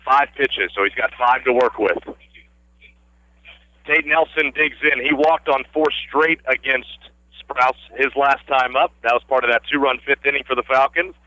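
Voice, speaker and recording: Bright-voiced man calling baseball play-by-play. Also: medium pitch, normal speed, no echo, dull audio. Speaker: radio play-by-play announcer. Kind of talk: live baseball play-by-play commentary. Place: outdoor ballfield broadcast position.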